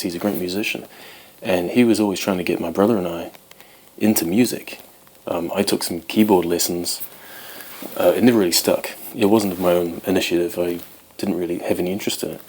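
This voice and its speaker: A man's voice: low voice, fairly even intonation, brisk, a little mellow, low-quality recording. A man in his twenties, born in Wales, chatting casually to camera as host of his guitar channel, rambling with many ums. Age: 20s.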